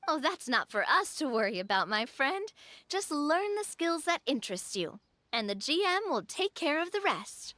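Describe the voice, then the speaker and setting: A playful, expressive young lady from an anime game. Her voice sits high and moves freely, with theatrical, musing phrasing. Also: normal speed, dry recording, exaggerated voice. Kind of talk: anime video game dialogue.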